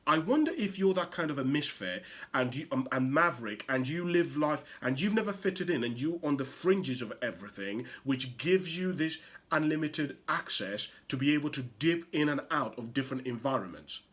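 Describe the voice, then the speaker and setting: A man from Uganda hosting a motivational show, talking emphatically with rhetorical questions and swinging from loud to hushed. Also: a little high, expressive, moderate pace. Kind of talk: impassioned motivational monologue.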